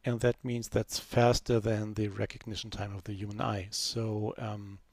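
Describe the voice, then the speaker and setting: A man narrating a screen-recorded software demo in a relaxed, unscripted way, full of fillers and restarts. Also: fairly low pitch, moderate pace, gravelly, no room sound. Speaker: software developer giving a demo.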